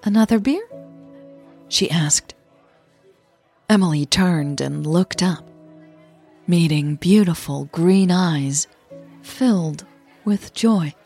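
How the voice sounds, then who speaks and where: A woman's voice: on the low side, creaky, a little mellow, husky. A woman reading scripted romantic fiction and podcast announcements as narrator and host. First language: Dutch.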